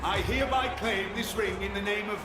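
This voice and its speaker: A man's voice: high-pitched, brisk, over loud music, close to an everyday voice. A man playing a pompous, loyal wrestling henchman in a video game, making ceremonial proclamations. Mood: pompous, rallying. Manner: ceremonial, declamatory. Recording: distant echoey arena, loud crowd. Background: loud arena crowd.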